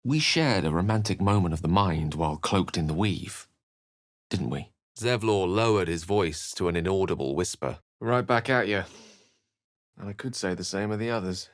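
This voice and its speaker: A learned, urbane game wizard with a low voice and a close, dry sound. He speaks eloquently and with theatrical care, measuring each phrase like a practiced storyteller. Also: expressive, normal speed, no room sound. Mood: courteous. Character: erudite, urbane male wizard with a theatrical streak. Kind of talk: video game character dialogue and narration.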